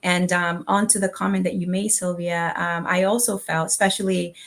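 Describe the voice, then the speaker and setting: A woman's voice: on the low side, level tone, normal speed. A woman with a Dominican Spanish accent, speaking earnestly as a panelist and filling her talk with "you know". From Dominican Republic. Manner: earnest, personal, many filler "you know"s. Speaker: panelist, diversity executive. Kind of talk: panel discussion.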